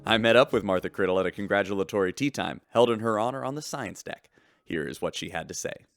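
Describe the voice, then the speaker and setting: An actor playing a tongue-in-cheek broadcaster in a sci-fi radio drama, narrating scripted news with theatrical announcer delivery. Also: male voice, fairly low pitch, fast talker, a bit bright, faint music behind. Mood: wry announcing. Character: smooth, tongue-in-cheek male space-station radio broadcaster.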